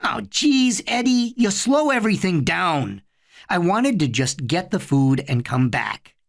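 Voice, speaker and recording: An older brother coaxes and scolds his little sibling, voiced by a woman narrator. The voice sits low but moves a lot, impatient underneath. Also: lively intonation, unhurried, vocal fry, full character voice. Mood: coaxing. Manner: impatient, coaxing.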